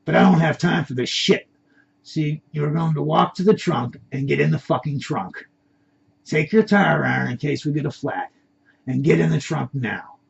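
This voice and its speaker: A man voicing a menacing male kidnapper in an audio crime story, calm, condescending and commanding. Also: on the high side, moderate pace, creaky, slight background noise. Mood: calmly threatening. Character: cool, menacing male masked gunman, boss's son. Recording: dull lo-fi recording.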